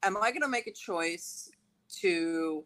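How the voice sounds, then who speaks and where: A woman's voice: medium pitch, lively intonation, slow, fairly bright tone. A woman in her forties from Los Angeles, a guest talking frankly and joking in a casual chat. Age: 40s. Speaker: guest artist.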